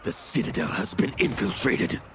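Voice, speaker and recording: An actor playing a menacing, theatrical tyrant who commands minions in a video game cutscene. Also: male voice, medium pitch, big pitch swings, normal speed, background noise, close to an everyday voice. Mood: scheming, commanding. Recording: loud music over the voice.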